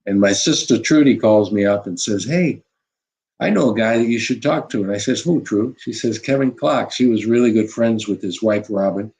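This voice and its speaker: An older man, a former basketball coach, telling stories from his career in an unhurried interview, full of "you know" and restarts. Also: lowish voice, a little mellow, low-quality recording. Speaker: former college basketball head coach, guest. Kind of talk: reflective interview storytelling.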